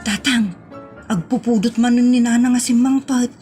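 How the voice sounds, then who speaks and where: A woman's voice: on the high side, steady tone, normal speed, dark tone, soft background music, natural voice. A woman with an Ilocano accent playing a nagging, outspoken village woman in a comedy audio drama, drawn out and exclamatory. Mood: complaining.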